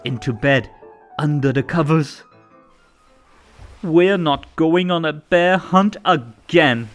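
A man reading a children's story aloud in a theatrical, rhythmic and expressive way. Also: fairly high pitch, slow pace. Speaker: storybook narrator. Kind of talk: animated children's read-aloud. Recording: music under the voice. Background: music.

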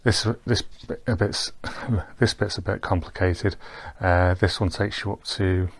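A man with a low, level voice talks a viewer through a virtual tour in a loose, unscripted way. He wanders, pauses with ums and restarts sentences as he clicks from spot to spot. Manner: relaxed, rambling, with ums and restarts. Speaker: tour guide. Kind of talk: casual voice-over walkthrough of a virtual tour. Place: recorded while wearing VR goggles, not on the boat.

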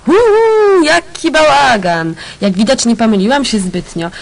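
A woman's voice: sits high, animated, measured pace, vocal fry, heavily acted, rough recording. Cheeky young student heroine, chatty, exclaiming to herself; a woman plays her. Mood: nosy.

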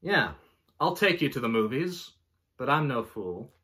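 Slow, theatrical young man reciting verse. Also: mid-range voice, big pitch swings, bright, forceful tone. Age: 20s. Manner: theatrical, deliberate, pompous delivery. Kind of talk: mock-dramatic poetry reading. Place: small bedroom, indoors.

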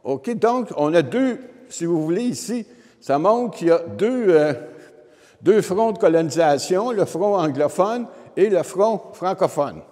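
A man's voice: sits high, animated, a little slow, dark tone. A man in his seventies with a Quebec French accent gives an informal, explanatory history lecture to an audience through a handheld microphone. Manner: explanatory, addresses the audience directly. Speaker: historian giving a public lecture. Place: indoor lecture room with wood panelling. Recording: reverberant hall sound.

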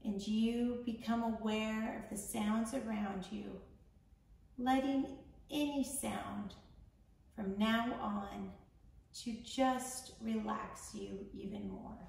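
A woman leading a guided meditation in a soothing, gentle, measured manner with long pauses. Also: mid-range voice, steady tone, gravelly. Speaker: meditation guide, founder of a yoga charity. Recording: echoey room, distant mic, faint noise.

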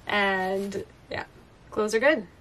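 A woman's voice: mid-range voice, slow, fairly bright tone, recorded from a distance, rough recording. A young woman who lives on a sailboat, chatting casually, often saying "like" and laughing lightly, inside a small boat cabin. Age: young adult. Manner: chatty, giggly, uses "like" often. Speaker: sailboat-living vlogger. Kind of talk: casual chat.

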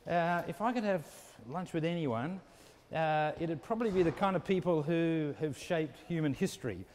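Higher-pitched Australian man in an echoey hallway. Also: moderate pace, a little mellow, a little hiss or hum. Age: middle-aged. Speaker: business school dean, interviewee. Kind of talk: unscripted walking interview answers. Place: walking through a university building.

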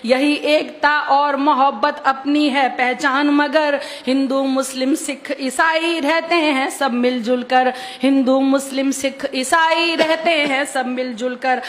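A woman's voice: high voice, fairly even intonation, slow, hardly any pauses. A middle-aged woman poet reciting verse on stage at a podium microphone, declamatory and emphatic, repeating couplets to the audience. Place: stage at a public gathering with podium. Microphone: stand microphone on podium.

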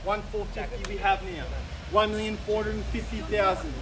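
An Australian man in his thirties works a crowd at a house auction, his voice riding unusually high as he pushes the bids along in quick, coaxing patter. He is caught from well back by a camera on a suburban street. Heavy traffic and street noise press in around him. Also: steady tone, recorded from a distance. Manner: brisk persuasive auction patter, repeating the figures. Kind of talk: live auction calling. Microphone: camera filming from some distance, no mic seen.

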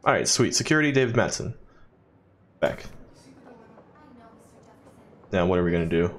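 Animated man joking through gameplay. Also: sits low, normal speed, a little hiss or hum. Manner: joking, sweary, muttering asides. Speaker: let's play commentator. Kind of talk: casual gameplay commentary.

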